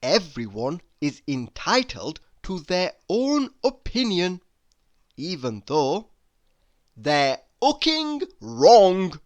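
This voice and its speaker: A man reading humorous quotes aloud in a deliberate, mock-inspirational manner. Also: high-pitched, huge pitch swings. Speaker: reader.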